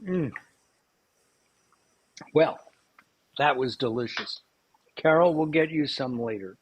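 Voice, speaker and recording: A man's voice: mid-range voice, takes it slowly, husky, poor audio. A man hosting a cooking show, chatting casually with lots of fillers and restarts. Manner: rambling, many fillers and restarts.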